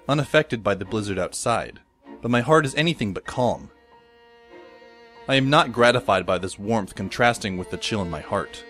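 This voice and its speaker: A man playing a wry, resigned teenage schoolboy who narrates an audio drama in a deadpan manner. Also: on the low side. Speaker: narrator and lead character. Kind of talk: first-person scripted audio drama narration. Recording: music behind the voice. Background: instrumental music.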